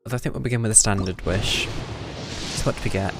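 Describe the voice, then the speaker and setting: A man's voice: on the low side, fairly quick, creaky, very noisy. A man giving casual live gameplay commentary, muttering drily to himself as he plays. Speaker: game streamer. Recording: game music behind the voice. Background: video game music.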